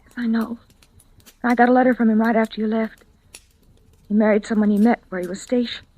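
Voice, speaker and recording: A woman's voice: fairly high pitch, flat delivery, fairly quick, warm tone, natural voice, rough recording. An actress playing a frightened young woman on the run in a 1940s radio drama, hesitant and pleading. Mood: upset, confessing. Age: young adult.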